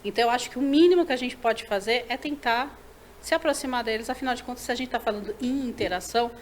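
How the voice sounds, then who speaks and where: A woman's voice: fairly high pitch, fast, slight background noise. Curious woman hosting an interview. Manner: curious, enthusiastic questioning. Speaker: TV show host. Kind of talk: interview questions, casual chat.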